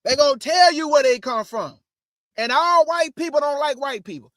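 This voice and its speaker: A man's voice: very high voice, normal speed, fairly bright tone, dry recording, clean studio sound. A man hosting his show, giving casual religious commentary in a preachy way and calling his listeners family. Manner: preachy, addresses audience as family. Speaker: host.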